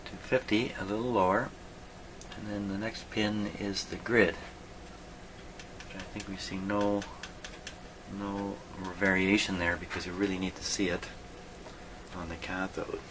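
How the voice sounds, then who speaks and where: A man hosting a hands-on repair demonstration, thinking aloud in a halting, muttering way as he works. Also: low voice, level tone, noisy background. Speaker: host of a radio repair video.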